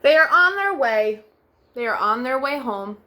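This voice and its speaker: A young woman hosting a children's storytime, reading picture books aloud in a gentle sing-song way with soft, drawn-out refrains. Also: high-pitched, big pitch swings, slight background noise.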